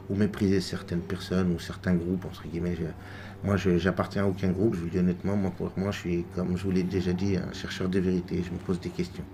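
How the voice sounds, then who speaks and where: A man from France giving unscripted religious commentary, halting and full of repeated words and fillers. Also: low-pitched, mellow, slight background noise. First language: French. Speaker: religious video maker.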